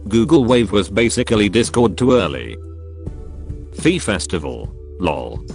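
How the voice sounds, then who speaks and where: A man with a low voice reads out a string of short reader stories. His delivery is dry, but his pitch moves around a lot. Faint music plays underneath. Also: lively intonation, unhurried, darkish tone. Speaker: narrator reading online posts. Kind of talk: scripted narration of reader stories.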